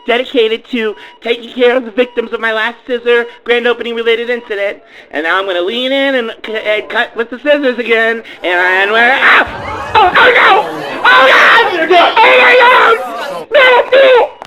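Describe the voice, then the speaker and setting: A man's voice: very high voice, sharp tone, low-quality recording. A man voicing a vain, needy young beauty queen in a theatrical comedic radio play, swinging from sweet to hysterical. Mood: gracious. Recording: music behind the voice.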